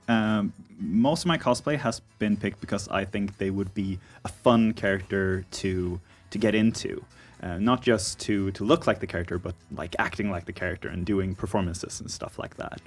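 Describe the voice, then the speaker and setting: A man, a guest in a casual chat, talking haltingly with many fillers. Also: fairly low pitch, faint music behind. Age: 30s.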